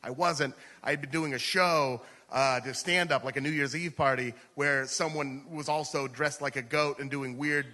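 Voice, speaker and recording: A man's voice: medium pitch, steady tone, moderate pace, hardly any pauses, pushed and bright. An American man doing comedic personal storytelling on stage, chatty and full of "like" and "you know.". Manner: conversational, peppered with "like" and "you know". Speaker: stand-up comedian. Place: theater stage at a live radio show. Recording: live stage in a reverberant hall.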